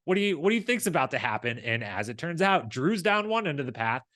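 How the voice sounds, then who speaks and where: A man's voice: a little high, very animated, fast talker, fairly bright tone, no room sound, studio quality. A man co-hosting a recap show with casual, conversational commentary, rambling along and often acting out imagined dialogue.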